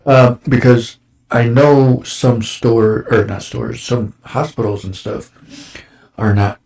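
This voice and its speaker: A man in his forties, hosting a casual chat show, his voice a little raspy from dust, rambling along with lots of fillers. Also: mid-range voice, level tone, slow, a little mellow. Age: 40s. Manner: rambling, with many fillers and restarts. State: slightly raspy from breathing dust. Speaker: show host. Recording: roomy echo.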